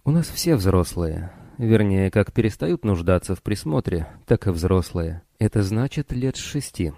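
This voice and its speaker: A frank, curious young woman who pilots starships, read by a male narrator. She speaks in an earnest, matter-of-fact way, with a soft dark tone in a dry audiobook recording. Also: low voice, expressive, moderate pace, gravelly, no echo.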